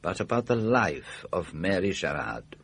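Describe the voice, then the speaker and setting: An actor playing an older Belgian detective, shrewd and patient, speaking formal, precise English with a Belgian French accent in a radio drama. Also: male voice, deep voice, big pitch swings, vocal fry, a bit bright, slight background noise, heavily acted. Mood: calm, insistent. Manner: precise, formal, deliberate questioning.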